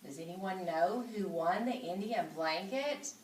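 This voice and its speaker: A woman's voice: fairly low pitch, a little slow, slight background noise. A woman reading a children's story aloud, slipping in informal, chatty asides to her listeners. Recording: roomy echo, distant microphone.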